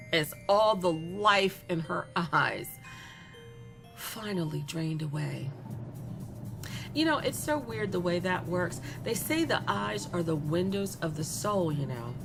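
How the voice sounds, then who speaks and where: Woman acting a flippant, gleeful young killer, animated. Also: on the low side, creaky, heavily acted. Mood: musing. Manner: conversational, flippant, giggly, with drawn-out emphasis. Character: gleeful remorseless young female serial killer, vain and chatty. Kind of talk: dramatic first-person monologue in a horror audio story. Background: music.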